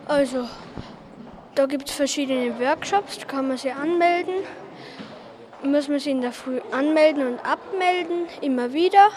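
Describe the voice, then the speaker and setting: A child being interviewed, answering casually and spontaneously in a halting, vague way, listing things. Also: high voice, darkish tone, a little hiss or hum.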